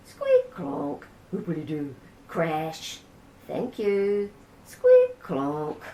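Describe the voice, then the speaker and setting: A woman with a New Zealand accent reading a children's picture book aloud in a gentle, unhurried way. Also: mid-range voice, huge pitch swings, vocal fry, a little hiss or hum. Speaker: storybook reader. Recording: roomy echo.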